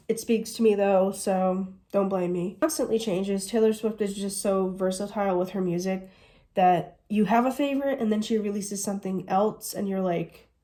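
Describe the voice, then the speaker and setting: A woman's voice: medium pitch, dark tone. A woman in her twenties from the United States, chatting casually through a Q&A vlog and gushing as she goes. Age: 20s. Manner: chatty, gushing, says "literally" often. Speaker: vlogger.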